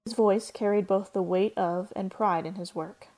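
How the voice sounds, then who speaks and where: A woman's voice: mid-range voice, fairly even intonation, normal speed, dark tone. A woman reading scripted literary narration as a storyteller.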